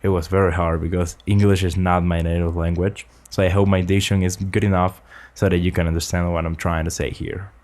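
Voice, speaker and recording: A young man from Ecuador, earnestly explaining vocal recording tips in slightly halting English, close on a studio condenser mic in a small home studio. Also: deep voice, little pitch movement, darkish tone. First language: Spanish. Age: young adult. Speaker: student making a tutorial. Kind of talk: casual spoken tutorial. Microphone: large-diaphragm condenser with pop filter, close.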